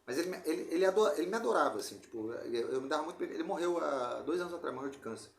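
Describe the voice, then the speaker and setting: Casting: male podcast host, Rio de Janeiro accent, slightly high voice, slangy rambling storyteller, roomy echoey sound. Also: lively intonation, very fast, gravelly, a little hiss or hum. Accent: Brazilian Portuguese, Rio de Janeiro. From Brazil. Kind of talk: casual chat, telling family anecdotes. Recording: roomy echo.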